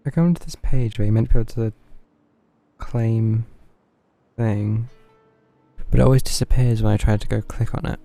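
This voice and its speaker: A man's voice: on the low side, steady tone, normal speed, gravelly, pauses often, warm tone, faint music behind. A man giving casual live commentary as he streams a game, muttering to himself and reading text aloud.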